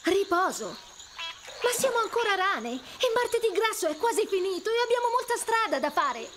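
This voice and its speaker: A woman playing a spirited, sensible young female frog in a video game, firm and expressive. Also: extremely high pitch, moderate pace. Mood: brisk, insistent. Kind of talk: video game character acting. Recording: loud background noise.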